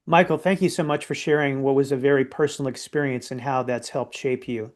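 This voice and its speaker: A man interviewing a guest, asking prepared questions in a polite, measured way. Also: medium pitch, steady tone.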